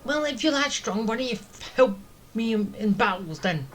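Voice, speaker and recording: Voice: medium pitch, lively intonation, normal speed, slight background noise. Hesitant gamer muttering through gameplay. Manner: hesitant, repeats words, muttering to self. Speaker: gaming streamer, player. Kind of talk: casual gameplay commentary.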